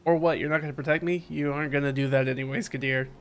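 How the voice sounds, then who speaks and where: A man's voice: a little high, fairly even intonation, racing, a bit bright. A man doing live playthrough commentary on a visual novel, reading dialogue aloud and reacting in an informal, slangy, wry way. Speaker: streamer playing a visual novel. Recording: faint background music.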